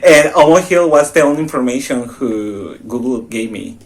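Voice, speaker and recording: A young man from Mexico chatting on a podcast, telling stories in an animated way and laughing often. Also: medium pitch, normal speed. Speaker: podcast co-host. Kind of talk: casual chat.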